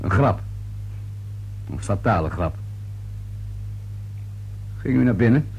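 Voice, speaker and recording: Police inspector, lowish husky male voice, terse, probing, patient, radio drama. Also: expressive, slow, darkish tone, highs cut off, exaggerated voice. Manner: terse, clipped questioning. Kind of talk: radio play acting.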